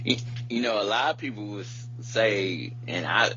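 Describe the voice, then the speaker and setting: A man's voice: on the low side, normal speed, sharp tone, soft background music. A man co-hosting a podcast in casual slang, often repeating his phrases as he goes. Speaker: podcast co-host. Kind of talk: casual chat. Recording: muffled lo-fi audio with hum, hiss.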